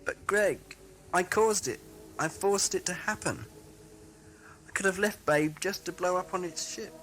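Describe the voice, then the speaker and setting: A man playing a flippant, decisive time-travelling doctor in an audio drama, with a brisk theatrical delivery. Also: sits high, fairly bright tone, rough recording. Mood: stricken, remorseful. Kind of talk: fan audio drama acting. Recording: music over old cassette recording.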